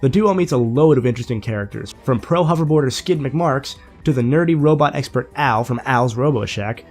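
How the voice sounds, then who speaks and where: A young man narrates a scripted game review at a mid pitch. His voice sounds a little nasal and congested as he gets over a sinus infection. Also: brisk, darkish tone, soft background music.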